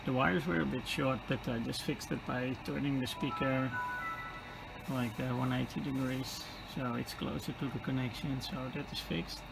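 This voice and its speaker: A man in his forties with a Dutch accent, repairing an old radio and thinking aloud step by step with hesitant pauses. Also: medium pitch, steady tone, gravelly, over loud music, rough recording. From Netherlands. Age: 40s. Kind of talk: hands-on repair vlog.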